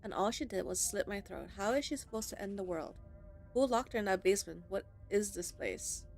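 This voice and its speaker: A woman's voice: medium pitch, brisk, creaky, darkish tone, soft background music. A woman doing casual playthrough commentary of a game, blunt and sardonic, swearing now and then. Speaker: gaming streamer. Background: visual novel game audio.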